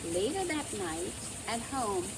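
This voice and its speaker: A woman reading a children's storybook aloud in a gentle, theatrical, emphatic way, as a storyteller. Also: medium pitch, sing-song, takes it slowly, noisy background. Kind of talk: expressive scripted storybook narration.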